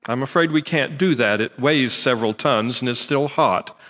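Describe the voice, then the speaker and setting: An actor playing a polite, distracted university scientist in a radio drama, courteous, fussy and a little hesitant. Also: male voice, mid-range voice, normal speed, fairly bright tone, long reverb tail, natural voice. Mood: polite, preoccupied.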